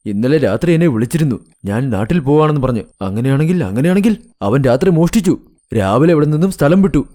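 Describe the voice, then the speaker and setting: A man's voice: a little high, expressive, fast talker, warm tone, natural voice. A man playing an earnest, protective adult son in a cartoon moral story. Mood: alarmed, earnest.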